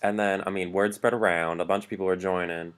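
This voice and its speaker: A man's voice: low-pitched, fairly even intonation, fast. A man co-hosting a podcast, telling stories from his school days in a casual, chatty way with lots of "like"s. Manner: chatty, filler-heavy storytelling with many "like"s. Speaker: podcast co-host. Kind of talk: casual storytelling chat.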